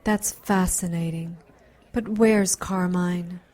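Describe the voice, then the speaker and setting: A woman's voice: lowish voice, fairly even intonation, slow pace, mellow. A woman playing a protective, defensive big sister in an audio drama, earnest and emotional. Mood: bewildered.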